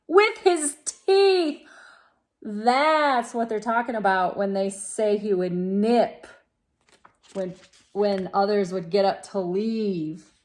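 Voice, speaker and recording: A woman reading a storybook aloud to children, talking to them directly in an expressive, exclaiming manner. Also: medium pitch. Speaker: children's read-aloud host.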